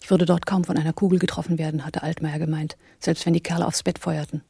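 A woman's voice: low voice, level tone, quick pace, gravelly, warm tone, slightly rough, no room sound, studio quality, heavily acted. An actress playing a cool, wry woman on the run in a German radio drama, in dialogue and first-person narration. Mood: tense narration.

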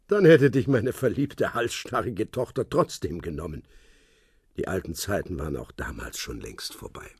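A cryptic old spy. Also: male voice, low-pitched, animated, a little mellow, breathy edge, no echo, clean studio sound, heavily acted. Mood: calm. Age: older. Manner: cryptic, measured, speaks in hints. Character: old retired secret-service man, cryptic. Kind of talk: radio play acting.

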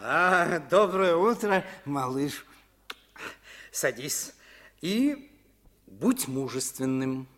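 An actor playing a calm, grave father of a young boy in a 1950s radio drama. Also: fairly high pitch, drawn-out words, long pauses, heavily acted.

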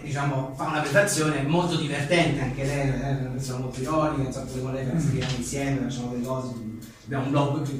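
A man being interviewed as an author at an informal book presentation, rambling animatedly and acting out imagined exchanges. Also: mid-range voice. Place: echoey indoor venue at a live event. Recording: very echoey room, distant mic, faint noise.

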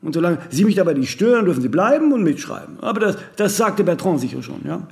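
An actor playing a vain, ageing celebrity in a radio play, imperious, aloof and rambling in aphorisms with little tag questions. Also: male voice, high-pitched, big pitch swings, fast, vocal fry, full character voice. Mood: haughty, complaining. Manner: haughty, rambling, aphoristic, with clipped tag questions and self-corrections. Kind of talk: radio play monologue in dialogue.

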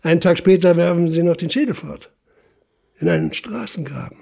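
An actor playing a stern police investigator in a radio drama, laying out accusations insistently and deliberately in a gravelly voice. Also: male voice, fairly high pitch, expressive, darkish tone. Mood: grim, deliberate. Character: stern, relentless police investigator interrogating a suspect. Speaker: narrating police official. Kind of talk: German radio drama, interrogation.

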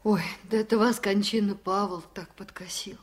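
An actress playing an eager, anxious young expectant village wife in a 1970s radio play, speaking earnestly and emotionally. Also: female voice, mid-range voice, steady tone, normal speed, close to an everyday voice. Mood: concerned. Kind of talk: 1970s radio drama acting.

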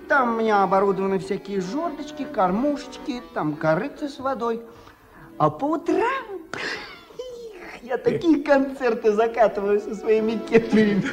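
Актёр в радиоспектакле играет хвастливого, восторженного деревенского мужчину, любителя птиц и музыки, который говорит по-простецки и сбивчиво. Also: male voice, very high voice, full character voice. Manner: bragging, folksy, stumbling over words. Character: boastful excitable village man, bird lover and musician. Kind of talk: Soviet radio play acting. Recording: 1979 radio play with music.

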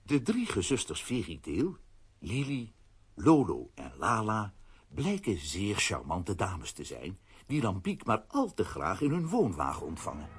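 Husky, highly animated male narrator. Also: a little slow. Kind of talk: scripted children's story narration.

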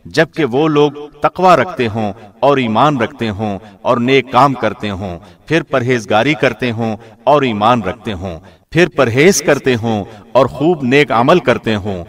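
A man's voice: mid-range voice, expressive. A man reading a scripture translation aloud in a formal, scripted radio narrator's style. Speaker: translation reader, radio host.

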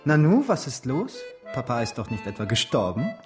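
Actor as a flippant, spoiled rich dandy. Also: male voice, medium pitch, big pitch swings, normal speed, over music, lightly acted. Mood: nonchalant. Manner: nonchalant, mocking, theatrical. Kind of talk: German radio drama acting.

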